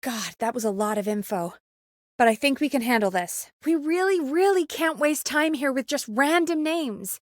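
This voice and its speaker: A woman's voice: high-pitched, animated, moderate pace, no room sound, clean studio sound. A woman acting as an earnest, anxious but capable young radio producer in a video game, talking in a natural, conversational way. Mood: worried, focused.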